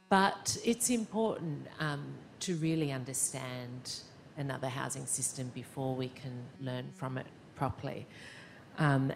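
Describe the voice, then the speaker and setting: A woman hosting an event, giving a formal introduction of a guest speaker in a measured way with frequent ums. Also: rumbling low, vocal fry, darkish tone, a little hiss or hum.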